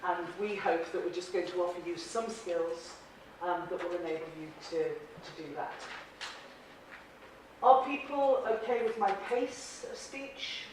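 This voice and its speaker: A woman's voice: on the low side, measured pace, noisy background. An Irish woman leading a presentation-skills workshop for a live group, chatty and encouraging, laughing often and asking the room questions. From Ireland. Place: large echoey training room. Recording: very echoey room audio, from a distance.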